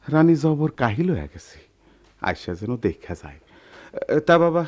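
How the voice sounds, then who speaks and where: A man's voice: fairly high pitch, big pitch swings, moderate pace, a little mellow. An actor playing a worried, deferential older village father in a radio drama, folksy and plaintive, in a rural eastern Bengali dialect. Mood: worried, pleading. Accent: rural eastern Bengali dialect, Bangladesh.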